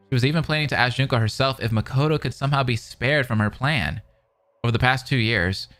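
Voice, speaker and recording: A man's voice: medium pitch, fairly bright tone. A man hosting a reaction video, reading visual novel narration aloud with casual, slangy commentary. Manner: informal, slangy asides.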